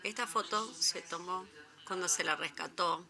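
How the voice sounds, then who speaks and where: A woman speaking slowly and deliberately as a conference presenter. Her first language is Italian. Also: on the low side, bright, forceful tone. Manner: slow and deliberate. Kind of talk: presentation talk with live interpreting of a trailer.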